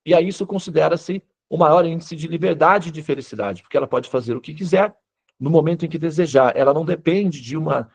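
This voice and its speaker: A man's voice: fairly high pitch, fairly quick, creaky. A Brazilian man speaking Brazilian Portuguese as a spiritual teacher, explaining in an informal lecture style and often checking in with short tag questions.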